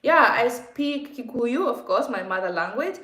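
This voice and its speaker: Young Kenyan woman, vlogger, slightly high voice, rambling casual chat with restarts, echoey home room. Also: lively intonation, measured pace. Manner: chatty, rambling, with frequent restarts and soft laughs. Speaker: vlogger answering viewer questions on a livestream.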